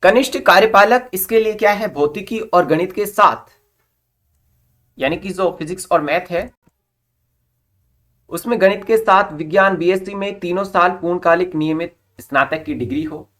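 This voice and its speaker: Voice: mid-range voice, normal speed, fairly bright tone. A presenter reading out an official recruitment notice in an instructional way, restating formal terms in simpler words. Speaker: presenter of job recruitment updates. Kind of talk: informational explainer reading out a notice with plain explanations.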